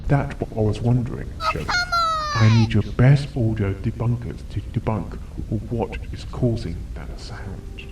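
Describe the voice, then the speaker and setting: A man voicing a stern, short-tempered pony doctor on a case, speaking seriously and deliberately in a fan fiction audio drama. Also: fairly low pitch, animated, recorded from a distance, very noisy. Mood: grave.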